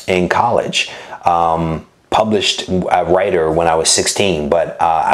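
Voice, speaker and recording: Low-voiced man chatting on a livestream. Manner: relaxed, rambling, many fillers and restarts. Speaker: comic collecting channel host. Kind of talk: casual livestream chat reading viewer comments.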